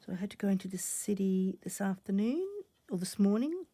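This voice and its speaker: A woman recovering from a cough, chatting casually while she stitches, often dropping to soft half-whispers as she thinks aloud. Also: mid-range voice, animated, fairly quick, no room sound. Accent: Australian. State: croaky from a cough. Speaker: stitching channel host. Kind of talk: casual crafting vlog chat.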